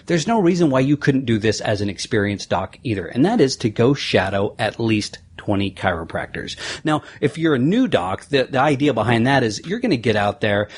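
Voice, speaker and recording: A man with a husky, slightly breathy voice at an ordinary middle pitch, hosting his own podcast. He talks earnestly and conversationally, building up to upbeat motivational points. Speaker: podcast host. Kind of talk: solo podcast recap.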